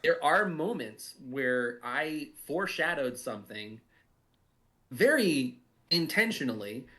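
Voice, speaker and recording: A man's voice: on the high side, sing-song, slow, sharp tone. A man giving casual commentary as a writer, thoughtfully searching for his words. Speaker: audio drama writer giving cast commentary. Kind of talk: casual chat, production commentary.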